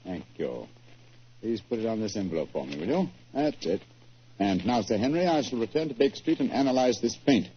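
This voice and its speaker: An actor playing a cool, authoritative British detective, precise and formal, in a 1940s radio drama. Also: male voice, fairly low pitch, very animated, brisk. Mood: brisk. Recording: dull, noisy 1940s radio recording.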